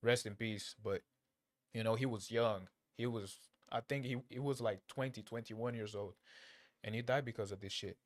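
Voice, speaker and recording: Low-voiced man, native Portuguese speaker, reacting casually. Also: fairly even intonation, no echo. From Angola, grew up in Portugal. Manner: halting, repeats phrases, slangy and blunt. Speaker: reaction video creator. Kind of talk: casual unscripted reaction commentary.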